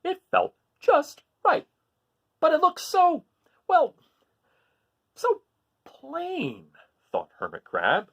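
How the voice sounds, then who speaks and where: A man reading a children's picture book aloud expressively, with deliberate, dramatic phrasing and stressed words. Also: extremely high pitch, wildly swooping pitch, gravelly. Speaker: storybook reader.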